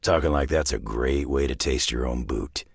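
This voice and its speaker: A very deep, gravelly gruff superhero brawler. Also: male voice, moderate pace, no room sound, studio quality, full character voice. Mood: low, wry. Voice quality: gravelly, raspy growl. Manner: gruff, sardonic, terse tough-guy delivery. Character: gruff, battle-hardened older male superhero brawler. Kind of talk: video game character voice lines.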